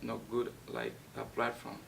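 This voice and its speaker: A young man from Nepal, a guest actor, answering interview questions in a halting way with many fillers and restarts. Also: monotone, creaky, fairly bright tone. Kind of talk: casual interview. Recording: distant mic with faint rumble.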